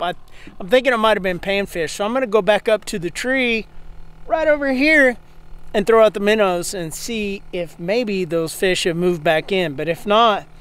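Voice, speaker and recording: A man, giving casual running commentary while fishing from a small boat on a river, with excited bursts and muttered asides. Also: high-pitched, clear, resonant tone. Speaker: fishing vlogger. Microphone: small clip-on mic on the chest strap.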